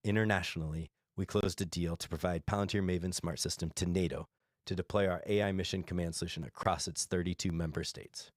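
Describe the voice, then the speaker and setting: A man with a low-pitched voice reads prepared remarks as a company executive on an earnings call. His delivery is formal and measured, and the sound is dry, with very little room around it. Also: steady tone, no echo. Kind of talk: scripted earnings call presentation.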